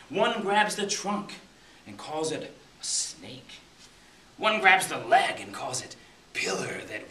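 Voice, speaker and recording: A man's voice: on the high side, bright, forceful tone. A middle-aged American man performing spoken-word poetry with a dramatic, rhythmic delivery that swells and drops. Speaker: poet reading his own work. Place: public library room at a poetry reading. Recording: distant mic, echoey room, faint hiss.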